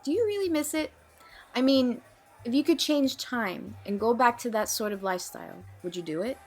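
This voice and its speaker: A woman's voice: fairly high pitch, normal speed, close to an everyday voice. A woman playing a guarded, troubled young woman in an audio drama, speaking in a hesitant, plain way. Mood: wry, apologetic. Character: guarded, troubled young woman named Nicole, awkward and wary. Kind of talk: audio drama dialogue, naturalistic amateur acting.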